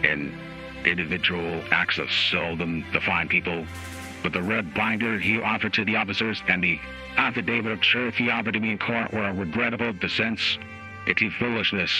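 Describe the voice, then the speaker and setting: A man voicing a stern, formal judge in a measured dramatic reading, through a voice changer, with music behind. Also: low-pitched, sharp tone, voice pushed down, rough recording. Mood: solemn. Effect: voice changer app. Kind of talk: dramatic reading of a court opinion. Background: music added by the app.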